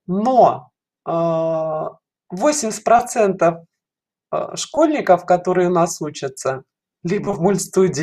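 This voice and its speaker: A middle-aged woman explaining things in an interview over a video call, in halting phrases with frequent pauses. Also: lowish voice. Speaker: caller to an online show, head of a children's animation studio. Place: small dim room indoors, video call. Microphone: headset on a video call.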